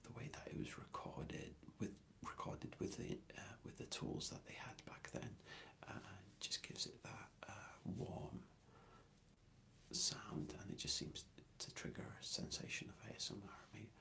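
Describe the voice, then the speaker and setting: A man whispering an ASMR question-and-answer ramble in a soft, hesitant and thoughtful way. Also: lowish voice, expressive, normal speed, creaky.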